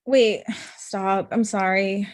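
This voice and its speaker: A woman's voice: mid-range voice, fairly even intonation, takes it slowly, dry recording, lightly acted. A young woman acting a blunt, hurt, confrontational ex-girlfriend in her thirties in a comedy-drama table read. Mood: softening. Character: Amita, blunt, hurt ex-girlfriend, thirty-five, sharp-tongued lawyer.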